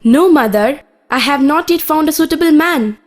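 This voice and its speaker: A woman's voice: sits high, lively intonation, normal speed. A woman playing a young, choosy princess in a children's cartoon, firm but polite.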